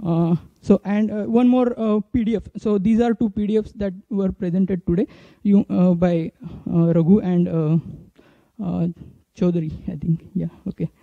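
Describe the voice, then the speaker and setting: A man in his thirties with an Indian accent giving an informal demo talk as a conference speaker, haltingly, into a handheld microphone. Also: sits high, steady tone, vocal fry, dry recording. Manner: halting, hesitant delivery. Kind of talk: informal flash talk with live app demo. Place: indoor conference stage. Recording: dull, muffled stage recording.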